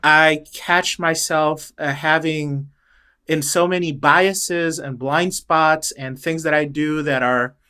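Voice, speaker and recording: A middle-aged man speaking as an interview guest, thoughtful and halting, with many restarts and fillers. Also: fairly high pitch, steady tone, pushed and bright, clear, resonant tone. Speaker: interview guest, choral director. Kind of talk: reflective interview talk.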